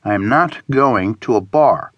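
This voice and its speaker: A man's voice: lowish voice, expressive, a little slow, no room sound, dull audio. A man voicing a grumpy, reluctant, sardonic traveler in audiobook dialogue. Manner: grumbling, sardonic.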